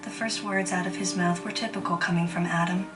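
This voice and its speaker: A low-pitched female bounty hunter, played by an actress narrates solemnly and introspectively in a video game cutscene. She sounds measured and grave, set back from the mic under prominent music. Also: fairly even intonation, recorded from a distance, natural voice. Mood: solemn, wistful. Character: solemn, reflective young woman bounty hunter. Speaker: narrator and protagonist.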